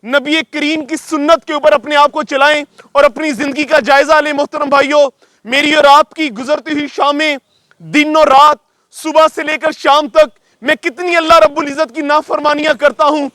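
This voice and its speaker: Very high, piercing male preacher. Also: flat delivery, normal speed, fairly bright tone, no room sound. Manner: declamatory, exhorting. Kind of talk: exhortatory sermon over a loudspeaker.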